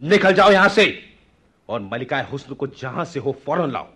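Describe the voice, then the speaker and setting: A man playing a lovestruck, hot-tempered young prince in a radio play, with a husky, theatrical delivery. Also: medium pitch, big pitch swings, normal speed, bright, forceful tone, soft background music. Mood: furious, demanding. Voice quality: husky, breathy. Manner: theatrical, poetic. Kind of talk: Hindi radio play acting.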